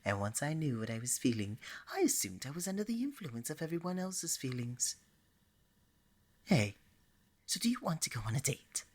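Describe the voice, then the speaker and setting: A woman's voice: low-pitched, very animated, normal speed, darkish tone. A woman playing a flirty, scatterbrained god of love in an ASMR romance roleplay, speaking to the listener in a playful, coaxing way. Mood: tender, excited. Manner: playful, coaxing, bubbly and hesitant by turns.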